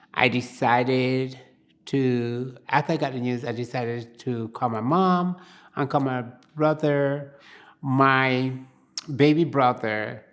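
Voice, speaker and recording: An older woman from Barbados, telling her life story in an oral history interview in a plain-spoken, reflective way. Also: rumbling low.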